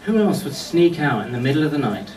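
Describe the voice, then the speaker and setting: A man delivering a eulogy full of anecdotes, telling stories in short phrases with deliberate pauses. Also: on the high side, big pitch swings, darkish tone. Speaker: friend giving a memorial tribute. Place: golf club function venue at a memorial service. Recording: echoey distant mic with background noise.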